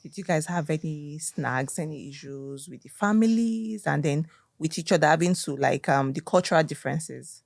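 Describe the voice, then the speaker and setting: Gravelly-voiced woman host. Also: sits low, animated, moderate pace, darkish tone, dry recording, studio quality. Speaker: podcast host. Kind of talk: conversational interview.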